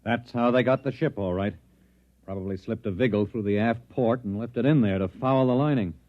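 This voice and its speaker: An actor playing a practical colonist under siege in a 1950s radio drama, talking in terse sentences. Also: male voice, medium pitch, brisk, highs cut off, natural voice. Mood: wary. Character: alert, matter-of-fact male colonist under siege. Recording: old band-limited 1950s radio recording.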